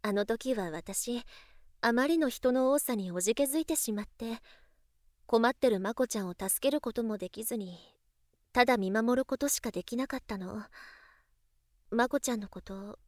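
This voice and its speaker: A woman acting as an elegant rich young lady from an anime-style audio drama. Her voice is high, soft and feminine, recorded dry and close. She speaks politely and coaxingly, like a doting big sister with a sly streak. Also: moderate pace, no echo, lightly acted. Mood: apologetic, gentle.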